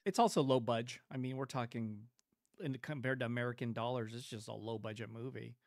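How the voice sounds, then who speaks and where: A man's voice: medium pitch, fairly quick, darkish tone, no echo, clean studio sound. A man co-hosting a podcast, giving blunt, joking opinions on films in casual chat. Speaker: podcast co-host.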